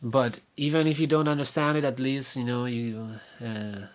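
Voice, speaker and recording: A man's voice: mid-range voice, fairly even intonation. Young Argentine man, Spanish native, mid-range voice, casual rambling vlogger. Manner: rambling, halting, with many fillers and restarts. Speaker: vlogger and podcaster. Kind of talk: casual video update.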